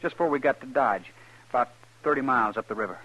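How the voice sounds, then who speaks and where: An actor playing a troubled, defensive young drifter in a 1950s radio western, halting and plain-spoken with a rural American drawl. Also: male voice, medium pitch, pushed and bright, rough recording. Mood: sombre, yielding.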